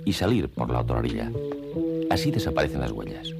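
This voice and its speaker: A man narrating an animated nature tale in Castilian Spanish, in a scripted storybook style. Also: a bass voice, fast, creaky. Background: music.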